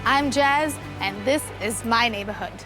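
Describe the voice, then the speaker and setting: A woman's voice: fairly high pitch, measured pace, rough recording. A woman presenting a TV magazine show, reading scripted narration. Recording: background music under voice.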